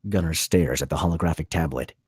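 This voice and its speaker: A man's voice: a bass voice, quick pace, breathy edge, no echo, studio quality. A man reading stage directions as dramatic scripted narration at a table read.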